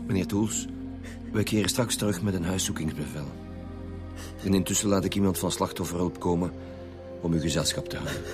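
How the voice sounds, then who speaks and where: An actor playing a steady, down-to-earth senior Flemish detective in a radio drama, with a matter-of-fact, authoritative way of talking. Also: male voice, low-pitched, brisk, long pauses, close to an everyday voice. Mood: official, firm. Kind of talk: naturalistic radio drama acting. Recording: music behind the voice.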